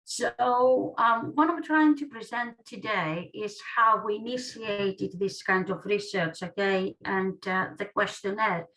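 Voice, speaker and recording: A woman from Greece with a Greek accent, an academic presenting online in a halting way, often tagging phrases with "okay". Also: mid-range voice, a bit bright, low-quality recording. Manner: halting delivery, often punctuated with "okay" as a tag. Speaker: academic researcher, guest speaker.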